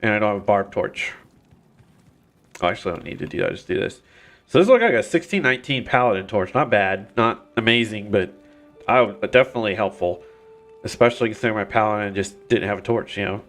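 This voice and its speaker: A man's voice: on the low side, fairly quick, a bit bright, faint music behind. A man streaming a video game at home while recovering from illness, his voice congested and nasal, rambling with many fillers and restarts. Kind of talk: casual gameplay commentary. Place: home gaming setup. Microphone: desk mic.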